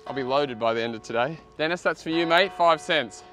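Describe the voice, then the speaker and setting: A man in his thirties with an Australian accent, chatting casually and excitably as he hosts an outdoor vlog. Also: a little high, fairly quick, faint music behind. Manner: excitable, exclaiming. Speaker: host of a magnet fishing vlog. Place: outdoors beside a canal. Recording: outdoor noise, traffic and ambience.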